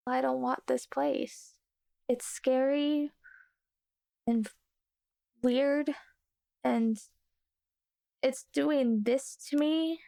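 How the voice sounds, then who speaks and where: Woman as a scared stubborn young girl in a tabletop game, high creaky voice, plaintive. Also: steady tone, warm tone, full character voice. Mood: scared, homesick. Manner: halting, plaintive, insistent.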